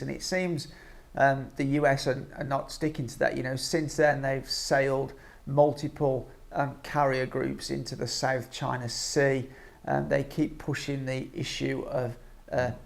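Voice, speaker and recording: A man giving informal opinion commentary as an online vlogger, speaking in a halting, hesitant way with frequent ums. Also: mid-range voice, creaky.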